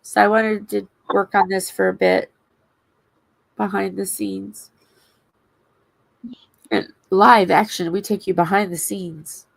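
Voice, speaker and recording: A woman with a strongly gravelly, fry-heavy voice chats along on a crafting live stream. She drops dry, teasing jokes in a mid-range voice, recorded close and dry. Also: medium pitch, flat delivery, slow pace, long pauses, dry recording, rough recording. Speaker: co-host. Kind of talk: casual live-stream chat while crafting.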